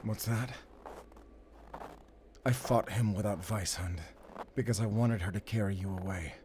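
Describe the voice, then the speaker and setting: A man's voice: fairly low pitch, a little mellow, slight background noise, close to an everyday voice. A man playing a wounded, stoic werewolf hunter who speaks in terse, strained phrases in a cinematic audio drama. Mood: grave, resigned. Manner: terse, halting, strained delivery. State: hurt, weakened. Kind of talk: cinematic audio roleplay acting.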